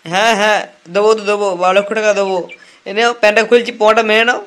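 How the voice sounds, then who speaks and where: A man's voice: high-pitched, steady tone, racing, a bit bright, a little hiss or hum. A man voicing a hapless, timid young male prisoner in a comic cartoon, pleading and whiny. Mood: sheepish, pleading.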